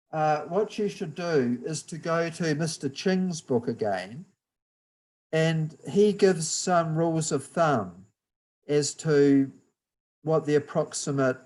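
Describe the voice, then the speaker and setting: A man with a New Zealand accent giving a guest lecture on building structures, explaining slowly and patiently over a video call. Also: a little high, a little mellow, low-quality recording. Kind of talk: university lecture, slow and deliberate.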